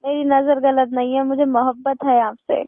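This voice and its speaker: A tinny phone recording carries a young woman's high voice. Her intonation stays flat and even. She is insistent and unflustered in a personal conversation. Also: normal speed, no echo. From northern India. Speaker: phone caller. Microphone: telephone.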